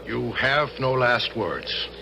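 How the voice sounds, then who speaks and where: An actor playing a courtly but inflexible military colonel in a 1970s radio drama, formal and commanding. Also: male voice, mid-range voice, animated, slow, vocal fry, background noise. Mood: stern, commanding. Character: courtly, inflexible Hessian colonel ordering an execution.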